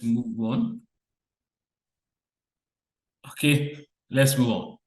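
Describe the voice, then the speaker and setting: A man's voice: medium pitch, slow, darkish tone, roomy sound. A man teaching an online lecture who quizzes students with repeated short questions and restates his points. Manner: repetitive call-and-response questioning.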